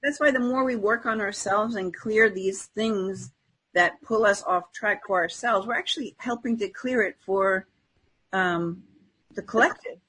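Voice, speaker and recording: Woman podcast co-host, bit of a husky voice, casual spiritual chat, talks it through conversationally. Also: medium pitch, measured pace, fairly bright tone, low-quality recording.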